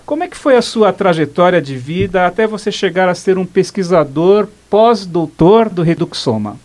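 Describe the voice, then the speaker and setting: A man hosting an educational program, interviewing a guest in a measured, didactic way. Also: sits high, animated, darkish tone. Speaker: program host.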